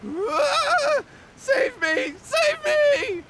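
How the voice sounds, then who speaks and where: A man's voice: very high voice, big pitch swings, very slow, background noise, full character voice. A man voicing a flustered, cowardly young schemer in a video game, earnest and pleading. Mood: terrified. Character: nervous, cowardly, scheming young would-be dojo owner.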